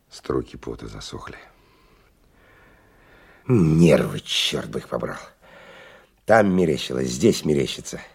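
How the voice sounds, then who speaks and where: An actor in a radio play voicing a hard-drinking, world-weary male stalker, brooding and coarse in his colloquial speech, with a husky low voice. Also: a little mellow, heavily acted. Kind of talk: radio play monologue and dialogue.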